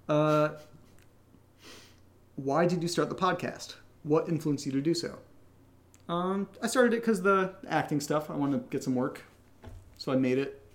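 A man with a somewhat high-pitched voice chats as a podcast co-host. He is informal and unscripted. His delivery is wry, teasing and self-mocking. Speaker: co-host of an audio drama podcast reading listener questions. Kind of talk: casual unscripted Q&A chat.

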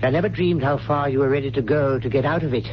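An actor playing a stern, loyal old family butler in a 1970s radio drama, speaking in a grave, measured, formal way. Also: male voice, medium pitch, lightly acted. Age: older. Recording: muffled, noisy old radio broadcast.

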